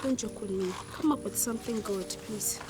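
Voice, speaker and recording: A woman playing an insistent young woman who speaks in a low, firm and pressing way. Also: normal speed, natural voice. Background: music.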